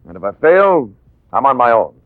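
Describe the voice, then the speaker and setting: A man's voice: medium pitch, big pitch swings, moderate pace, dry recording, natural voice. A man playing a wry, self-assured hardboiled investigator in 1940s radio drama style, narrating and talking. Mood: pressing. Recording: tinny, noisy vintage radio recording.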